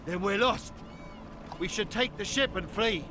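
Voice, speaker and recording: A man's voice: high-pitched, a little slow, music in the background. A man voicing an anxious, loyal and devout soldier in a video game, urgent and deferential. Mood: dismayed. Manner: urgent, worried.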